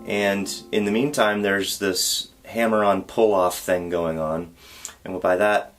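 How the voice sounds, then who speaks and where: A man teaching a song on guitar, explaining step by step in a patient, relaxed way and counting beats aloud. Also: sits low, takes it slowly. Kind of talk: guitar tutorial. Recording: loud guitar under the voice. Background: acoustic guitar playing.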